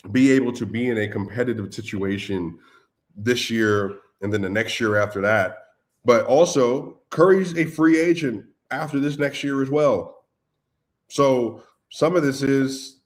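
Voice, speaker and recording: A man co-hosting a casual sports chat, talking loosely with lots of "like" and calling his listeners "y'all". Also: medium pitch. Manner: loose and conversational, many "like"s, addresses listeners as y'all. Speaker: podcast co-host.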